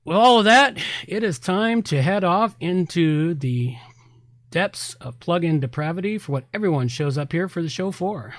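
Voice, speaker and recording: Higher-voiced man hosting a casual podcast. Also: animated, normal speed. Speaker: podcast host. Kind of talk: casual podcast talk, plugin reviews.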